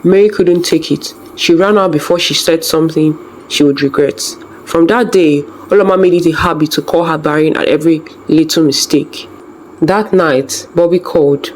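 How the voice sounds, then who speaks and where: Folktale narrator, scripted storytelling delivery, mid-pitched voice, noticeable background noise. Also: moderate pace, rough recording.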